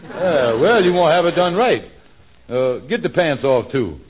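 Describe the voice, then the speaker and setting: An actor playing a scheming, smooth-talking lodge leader in a folksy, wheedling, mock-solicitous manner, in 1940s radio comedy style. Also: male voice, a little high, sing-song, unhurried. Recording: narrow, tinny vintage radio recording.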